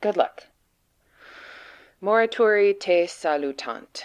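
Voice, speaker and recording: A woman playing a frightened, despairing agent trapped among monsters, delivering a halting, grimly sardonic confessional monologue in an audio drama. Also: medium pitch, expressive, clean tone. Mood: resigned, urgent. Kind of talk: dramatic monologue reading of a written report.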